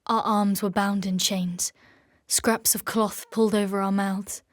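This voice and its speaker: A woman's voice: mid-range voice, flat delivery, a little slow, close to an everyday voice. Woman acting fierce, steely warrior woman. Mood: grim narration. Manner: terse, steely, intense. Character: fierce, guarded warrior woman, dangerous and protective. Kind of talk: audio drama acting.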